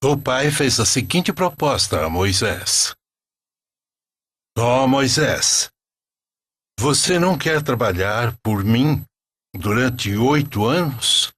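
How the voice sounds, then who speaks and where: A man narrating a scripted religious story as a storyteller, in an expressive, deliberate way. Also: medium pitch, husky, no echo.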